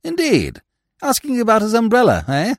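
Man playing a suave, swooping, grandiloquent gentleman. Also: medium pitch, wildly swooping pitch, normal speed, dry recording, clean studio sound, voice pushed up. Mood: blandly cordial. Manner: grandiose, bland, florid phrasing. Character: suave, verbose gentleman, blandly cordial and unflappable. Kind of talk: audiobook character voice.

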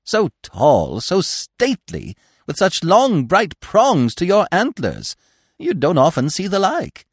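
A storybook squirrel, acted out by a male reader, chatty and excitable. The voice is pitched up and swoops wildly as it fusses and gushes, stressing words emphatically. The sound is close and dry. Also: normal speed, dry recording, heavily acted. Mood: gushing, excited.

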